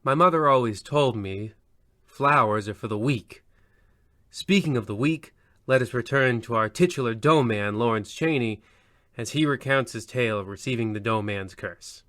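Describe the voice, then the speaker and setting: A man playing a droll old-time mystery radio narrator, pompous and deadpan, drifting into grumbling asides. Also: medium pitch, normal speed, no echo, clean studio sound, lightly acted. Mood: sardonic.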